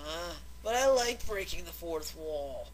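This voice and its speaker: A woman's voice: fairly low pitch, expressive, measured pace, a little hiss or hum, low-quality recording. A woman co-hosting in casual banter, playful and teasing in the way she talks. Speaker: co-host and voice performer.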